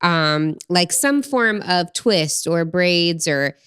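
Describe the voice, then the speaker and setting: A woman's voice: on the low side, animated, takes it slowly, a bit bright, smooth, dry recording, clean studio sound. A woman in her thirties co-hosting a podcast, talking in an upbeat, chatty way and laughing easily. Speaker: podcast co-host. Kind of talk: casual chat.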